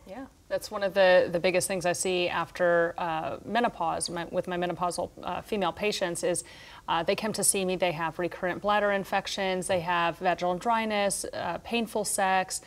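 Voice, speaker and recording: A woman's voice: lowish voice, fairly even intonation, moderate pace. A woman in her thirties giving clinical explanations on a studio panel. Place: TV studio round table. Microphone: lapel mic.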